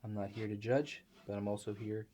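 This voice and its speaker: A man performing an improvised ASMR roleplay monologue in a halting, hesitant, deadpan manner. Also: on the low side. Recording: clear background noise.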